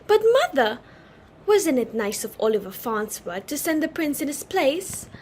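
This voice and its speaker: A hopeful young society daughter, acted by a woman in a stage comedy reading. Her voice is very high and swings with lively intonation, gentle in temper, with faint noise behind it. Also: a little slow. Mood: pleading, hopeful.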